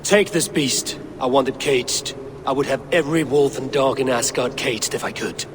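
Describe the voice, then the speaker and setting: An actor playing a wrathful Norse god in a video game, speaking in an imperious, suspicious, declamatory way. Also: male voice, mid-range voice, moderate pace, a little hiss or hum, close to an everyday voice. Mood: stern. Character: wrathful, commanding Norse god, male wanderer and warrior. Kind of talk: video game cutscene acting.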